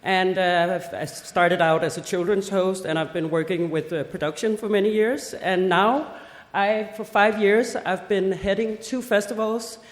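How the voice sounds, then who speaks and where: A woman in her forties from Denmark giving a conference talk, lively, with joking asides and rhetorical questions. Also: mid-range voice, a little slow. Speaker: festival head giving a seminar talk. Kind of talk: conference presentation. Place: seminar hall. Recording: echoey hall with long reverb.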